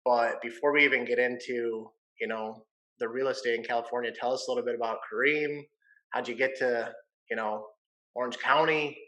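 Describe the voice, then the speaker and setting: A man's voice: mid-range voice, fairly even intonation, a little fast, pushed and bright, lo-fi sound. A man from Michigan hosting a casual video-call interview, easygoing and jokey, often saying "man" and "you know". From Michigan, USA. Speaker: podcast host, realtor.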